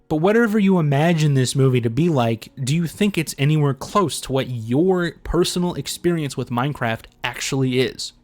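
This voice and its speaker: A man's voice: medium pitch, a little mellow, no echo. A man giving casual, opinionated video commentary in a blunt, sarcastic, heavily profane way. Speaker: video essay host.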